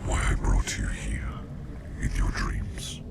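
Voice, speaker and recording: A man playing a mysterious, formal plague doctor in an ASMR roleplay, whispering in slow, archaic phrases with a breathy, gravelly voice. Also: a bass voice, noisy background, full character voice. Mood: calm, soothing. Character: mysterious plague doctor hypnotist visiting a dream, formal, protective.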